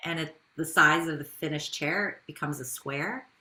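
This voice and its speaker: A woman's voice: low-pitched, moderate pace, bright, forceful tone. A woman speaking as a business founder in an interview, telling her story in a conversational way with rhetorical questions.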